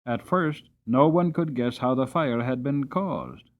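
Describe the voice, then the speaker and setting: A man's voice: mid-range voice, unhurried. Man giving a dramatic storybook reading. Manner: expressive, dramatic delivery. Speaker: audiobook narrator.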